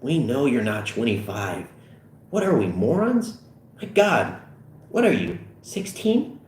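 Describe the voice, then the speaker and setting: Casting: man as brash, swearing comedy police officer, lively swooping delivery, roomy sound with faint noise. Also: mid-range voice, slow, voice pushed up. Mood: amused. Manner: blustering, swearing. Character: brash, foul-mouthed male police officer, boisterous and joking.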